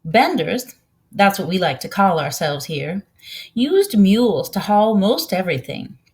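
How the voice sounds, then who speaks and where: Storybook role: kindly old country woman, voiced by a female reader, proud, folksy, leisurely pace, expressive pitch. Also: unhurried. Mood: proud storytelling.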